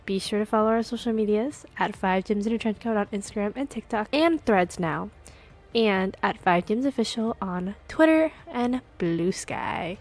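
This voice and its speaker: A woman's voice: mid-range voice, expressive, moderate pace, darkish tone, faint music behind. A woman hosting a podcast, giving casual announcements to listeners. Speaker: podcast host.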